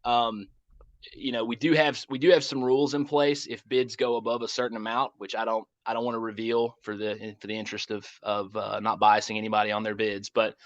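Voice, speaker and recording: An American man answering questions informally on a conference call as a project lead, circling back to rephrase in a halting way. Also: on the low side, flat delivery, no echo. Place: conference call, dry close sound. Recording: muffled, dull conference call audio.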